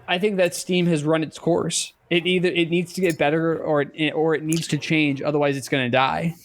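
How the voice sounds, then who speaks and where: A man co-hosting a casual podcast, speaking haltingly and repeating words as he goes. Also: fairly high pitch, little pitch movement. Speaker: podcast co-host.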